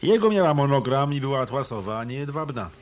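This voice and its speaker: A man's voice: mid-range voice, sing-song, fast, slight background noise, close to an everyday voice. A man playing a dry, shrewd private eye. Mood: accusing. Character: shrewd hard-boiled male hotel detective turned private eye. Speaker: actor in a radio play. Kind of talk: Polish radio drama dialogue.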